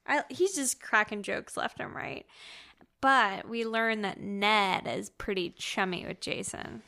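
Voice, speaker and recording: A woman co-hosting a podcast, recapping a story in a casual, animated, slangy and jokey way. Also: mid-range voice, slow pace, vocal fry. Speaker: podcast co-host recapping a novel. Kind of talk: casual chatty story recap with acted-out quotes.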